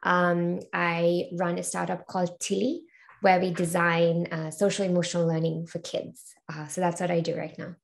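Young woman from Sri Lanka, slightly low and gravelly, speaking on a webcam call in a home room, thoughtful and hesitant. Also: little pitch movement, normal speed. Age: 20s. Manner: thoughtful, many ums and uhs. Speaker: interview guest, learning experience designer. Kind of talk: casual video call interview. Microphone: video call webcam.

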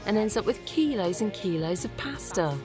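Steady female voice-over over music. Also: medium pitch, moderate pace. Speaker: voice-over interpreter for the guest. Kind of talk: English voice-over translation.

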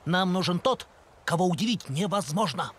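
A man's voice: sits high, lively intonation, moderate pace, fairly bright tone, slight background noise. A man voicing a practical, encouraging little pirate schoolboy in a cartoon. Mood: practical, reassuring. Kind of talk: cartoon voice acting. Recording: music behind the voice.

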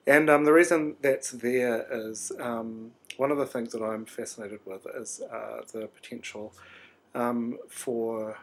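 A man's voice: mid-range voice, fairly even intonation, measured pace. A man, an artist rambling through a sleepy, hesitant video diary full of ums and restarts. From New Zealand. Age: 30s.

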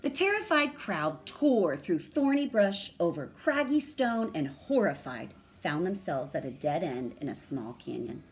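A woman's voice: fairly low pitch, very animated, a little slow, few pauses. A woman in her thirties or forties reading a storybook aloud and explaining words. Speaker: read-aloud host. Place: small room indoors.

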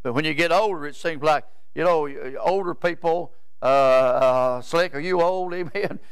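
A man's voice: fairly high pitch, moderate pace, clear, resonant tone, no echo. A man, preaching a rambling, folksy sermon in a church sanctuary and often stopping to ask "Amen?". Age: 70s. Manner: rambling, repeats words, asks "Amen?" of the crowd.

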